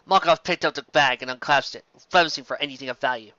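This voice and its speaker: A man reading fan fiction aloud as an amateur narrator, expressive and occasionally stumbling over words. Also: medium pitch, fast talker, no room sound, lo-fi sound.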